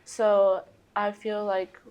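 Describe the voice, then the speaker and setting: Young female player, upbeat and confident, mid-pitched voice. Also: monotone, drawn-out words, a little mellow, clear, resonant tone, lo-fi sound. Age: young adult. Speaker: college softball player. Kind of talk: interview answers.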